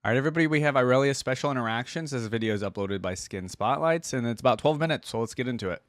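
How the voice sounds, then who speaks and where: A man hosting a reaction video, giving casual, rambling gaming commentary as he thinks out loud. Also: medium pitch, racing, no room sound.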